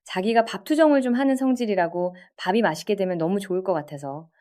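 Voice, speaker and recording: A woman's voice: medium pitch, fast talker, dark tone. A woman narrating a scripted story in a conversational way, speaking directly to listeners as a storyteller would. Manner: conversational, addresses listeners with rhetorical questions.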